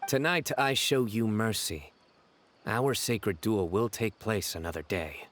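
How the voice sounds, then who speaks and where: A man playing a stern, solemn young warrior in a video game, speaking in a deadpan, measured, grandiose way. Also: on the low side, a little hiss or hum.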